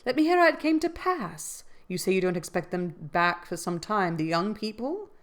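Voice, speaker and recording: A woman reading a polite gentleman caller. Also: mid-range voice, big pitch swings, normal speed, close to an everyday voice. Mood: inquiring. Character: gentleman visitor, polite and curious.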